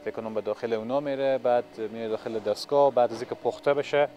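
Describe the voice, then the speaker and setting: A man's voice: medium pitch, fairly even intonation, dark tone, lo-fi sound, soft background music. A man, working as a television reporter and interviewing on location with a handheld microphone in a large factory hall.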